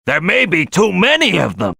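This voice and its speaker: An actor voicing a brash, gung-ho male crocodile detective boss in a gruff, raspy video game voice. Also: sits high, wildly swooping pitch, moderate pace, sharp tone, dry recording, studio quality, full character voice. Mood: brash, commanding.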